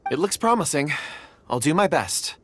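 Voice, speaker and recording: A man playing a modest, earnest young man in a video game, speaking politely and gently. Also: a little high, sing-song, moderate pace, noisy background. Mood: focused.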